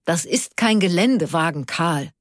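A woman's voice: fairly low pitch, animated, moderate pace, dry recording, clean studio sound, close to an everyday voice. A woman voicing a sensible, cautious older man who reasons plainly and reluctantly, in an audiobook. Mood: flustered, defensive. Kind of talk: audiobook character dialogue.

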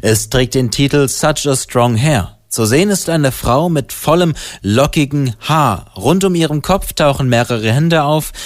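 A man's voice: medium pitch, expressive, a little slow, few pauses, no room sound, clean studio sound. A man narrating a scripted radio report as the reporter.

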